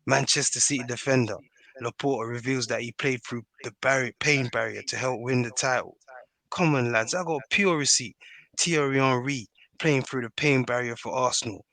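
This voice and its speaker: A man's voice: mid-range voice, moderate pace, sharp tone, dry recording. A man debating football casually, slangy and argumentative, often checking that listeners follow him. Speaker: football podcast panellist.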